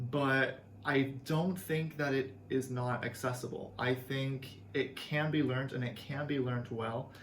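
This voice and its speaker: A man's voice: medium pitch, a little hiss or hum. A young Canadian man, a language teacher, explaining things in a friendly, earnest way with some fillers. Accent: Canadian English. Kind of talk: casual video lesson. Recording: echoey room, faint ambience.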